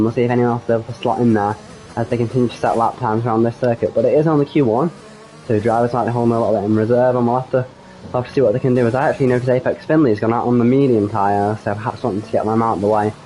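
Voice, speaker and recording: A man giving solo live commentary on an online racing league, slightly croaky from a cold, hesitant with frequent ums and self-corrections. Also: mid-range voice, fairly even intonation, very fast, mellow, rough recording.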